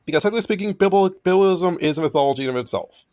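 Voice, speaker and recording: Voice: medium pitch, rapid-fire, darkish tone. A host in casual group chat, talkative and lecturing with jokes. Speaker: podcast host.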